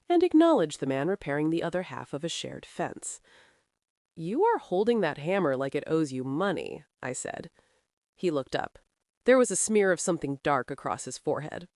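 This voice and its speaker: A woman narrating a first-person western audio drama as a proud, capable frontier ranch woman, with a dry, wry, measured delivery. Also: lowish voice, darkish tone, no echo.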